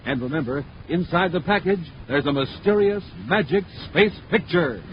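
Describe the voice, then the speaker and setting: A man's voice: a little high, lively intonation, normal speed. A man announcing with emphatic, punchy delivery in scripted 1950s radio style. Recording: dull, faintly noisy 1950s radio recording.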